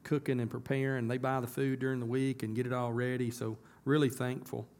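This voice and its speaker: A hoarse man, a pastor talking to his congregation in a casual, folksy way that turns emphatic. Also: mid-range voice, flat delivery, a little fast, a little mellow. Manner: folksy, conversational, rising to emphatic. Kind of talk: casual church announcements and sermon. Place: church sanctuary.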